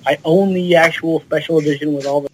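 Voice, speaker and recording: Higher-voiced hesitant man, faint noisy recording. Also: steady tone, a little fast. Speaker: podcast panelist. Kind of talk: casual informational chat. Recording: faint noise with clicks.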